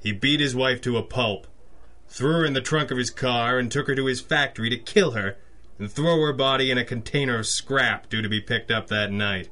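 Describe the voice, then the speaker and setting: A man playing a gruff, jaded, sardonic police lieutenant in an old-school radio detective drama, speaking curtly. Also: mid-range voice, moderate pace, pushed and bright, lightly acted. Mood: grim, bitter. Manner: curt, sardonic.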